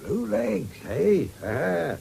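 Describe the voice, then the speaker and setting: Slow, mellow old English farmer. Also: male voice, high voice, sing-song, exaggerated voice. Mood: musing. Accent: English rural. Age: older. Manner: slow, rambling, gruff. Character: old farmer father, rambling, easily irritated. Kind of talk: radio drama acting. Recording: faintly noisy 1970s radio recording.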